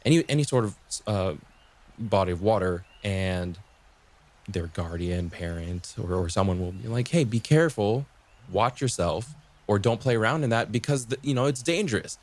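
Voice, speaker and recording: A man explaining folklore in casual conversation as a podcast guest, haltingly rephrasing and repeating himself as he goes. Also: lowish voice, lively intonation, a little mellow.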